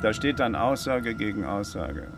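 An actor in a German radio drama playing a cautious, methodical handyman, formally worded and deliberate. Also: fairly low pitch, animated, music in the background. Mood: firm, resolute. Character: Floyd, male handyman drawn into crime, cautious, methodical.

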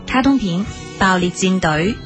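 A woman's voice: medium pitch, animated, a little slow, loud music behind the voice. A woman reading scripted station announcements in a presenter's style.

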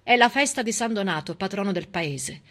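A woman's voice: mid-range voice, expressive, normal speed, a bit bright. A middle-aged woman performing a theatrical civic monologue, telling history in an emphatic, dramatic and ironic manner. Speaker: stage actress and storyteller.

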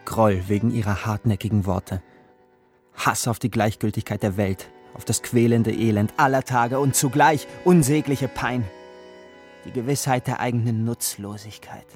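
An actor playing a gloomy narrator recalling his poor youth in a literary radio drama, speaking in a somber, measured way. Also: medium pitch, a little mellow, soft background music, natural voice.

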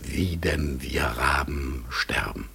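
Sehr heiserer, schwermütiger Rabe. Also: male voice, very deep voice, bright, forceful tone, heavily acted. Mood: pensive, searching. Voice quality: husky, breathy, gravelly. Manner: halting, brooding. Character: melancholy, pondering raven in human life, gentle and troubled. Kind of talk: German radio play acting.

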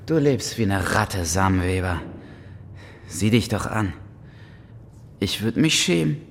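A man's voice: on the low side, expressive, takes it slowly, creaky, a little hiss or hum, full character voice. A man acting a cocky, sneering thug in an audio drama, mocking and provocative as he talks. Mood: mocking, contemptuous. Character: sneering, taunting far-right thug, contemptuous and cocky.

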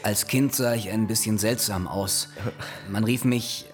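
A man's voice: lowish voice, measured pace, faint music behind, close to an everyday voice. A man playing an odd, gangly interview guest recalling his childhood in a radio drama. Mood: reminiscing.

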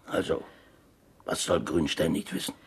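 Ein Schauspieler spielt in einem Hörspiel einen schroffen, trocken-ironischen deutschen Seemann in einer Gefängniszelle, umgangssprachlich und wortkarg. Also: male voice, extremely low pitch, fairly even intonation, normal speed, breathy edge, heavily acted. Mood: weary, annoyed. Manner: colloquial, dry, laconic. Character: gruff, wry German sailor in a prison cell. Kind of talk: German radio play acting, 1970s.

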